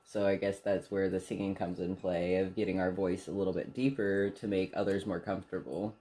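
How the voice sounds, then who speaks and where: A soft-spoken young man co-hosting a casual get-to-know-you chat in a small bedroom, laughing often and speaking candidly. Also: sits low, little pitch movement, fairly quick, a little hiss or hum. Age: young adult. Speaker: channel co-host.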